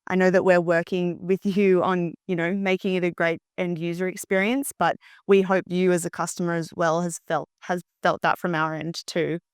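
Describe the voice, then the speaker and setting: A woman's voice: on the low side, level tone, moderate pace, few pauses, smooth, dry recording, clean studio sound. A woman in her thirties answering conversationally as a panel guest, peppering her speech with "like". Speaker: panel guest, tech company partner representative. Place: indoor studio, seated on a sofa. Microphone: clip-on lapel.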